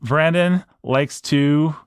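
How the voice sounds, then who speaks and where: A man's voice: fairly high pitch, drawn-out words, clear, resonant tone, no room sound, clean studio sound. A man hosting a casual podcast, making playful, mock-grandiose introductions of his co-hosts. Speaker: podcast host. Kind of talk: casual chat.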